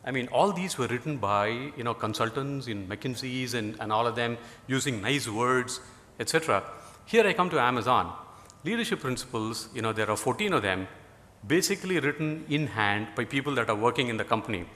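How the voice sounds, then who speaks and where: Bright-voiced Indian man in a reverberant hall. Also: mid-range voice, lively intonation, fairly quick. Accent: Indian English. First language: Tamil. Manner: rambling, many "you know" fillers, dry humor. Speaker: guest speaker. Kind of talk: conference talk.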